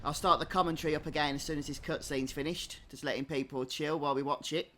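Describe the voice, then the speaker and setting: A man's voice: a little high, fairly even intonation, fast, bright, forceful tone. A man giving informal, chatty live commentary as a gaming streamer. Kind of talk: casual live game commentary. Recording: faint background noise.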